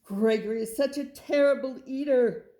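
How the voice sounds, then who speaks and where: A woman's voice: high voice, normal speed, mellow, pitched above the performer's own voice, close to an everyday voice. A woman voicing a stern, disapproving father goat from a children's picture book, emphatic and grumbling. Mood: exasperated.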